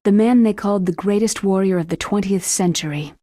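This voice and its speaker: A woman voicing a composed, clinical scientist in a video game, explaining calmly and precisely. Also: medium pitch, brisk, no room sound, clean studio sound, lightly acted.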